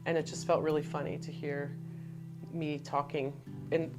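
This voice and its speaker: A woman's voice: sits low, level tone, moderate pace, over music. A middle-aged woman, a former teacher, talking as a guest over a video call, thoughtful and searching for words. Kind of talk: casual interview chat.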